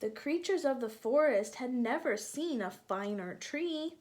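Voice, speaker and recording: Slow, patient woman reading a storybook. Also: high voice, lively intonation, darkish tone. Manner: patient, explains with questions to young listeners. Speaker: teacher reading to children. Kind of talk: picture-book read-aloud with teaching asides.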